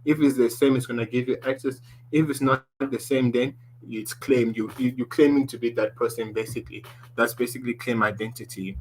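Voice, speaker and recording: A man with a deep voice presenting a tech lesson, walking through slides and often restarting his sentences. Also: fairly even intonation, a little fast, low-quality recording. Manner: explaining slides, restarts often, ends points with "basically".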